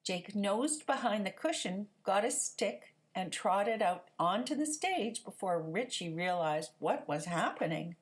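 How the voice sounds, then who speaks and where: A woman narrating a children's story aloud in an expressive, theatrical storytelling manner. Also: mid-range voice, a little slow, few pauses.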